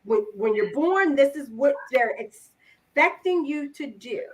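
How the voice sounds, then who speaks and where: A woman hosts a casual podcast interview, chatty and affectionate. Her voice sits high, and she often restarts her sentences as she works toward a question. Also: lively intonation, a little slow, low-quality recording. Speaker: podcast host.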